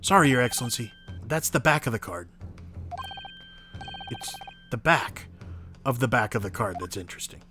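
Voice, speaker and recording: A man's voice: mid-range voice, very animated, moderate pace, pauses often, over music, close to an everyday voice. A man voicing an earnest, nervous young student defending in court in a dramatic video game, polite and easily flustered.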